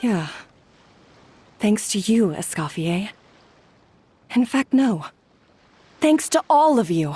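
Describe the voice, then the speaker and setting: Slow, hesitant young chef. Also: female voice, mid-range voice, very animated, dark tone, breathy edge, exaggerated voice. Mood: moved, resolute. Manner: hesitant, subdued. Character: self-doubting, earnest young female head chef. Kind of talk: video game voice acting.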